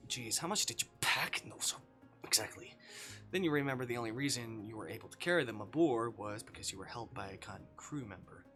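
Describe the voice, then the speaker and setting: Male streamer, bright tone, reading game text aloud, loose and casual, soft music behind. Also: mid-range voice, expressive, fairly quick. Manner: casual, swearing in asides. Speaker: livestreamer. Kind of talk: reading a visual novel aloud on a livestream, with casual asides.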